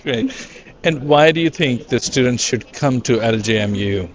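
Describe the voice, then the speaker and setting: A man interviewing university representatives, asking short questions slowly, with hesitations. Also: mid-range voice, lively intonation. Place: busy education expo hall. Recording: crowd noise behind. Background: crowd chatter.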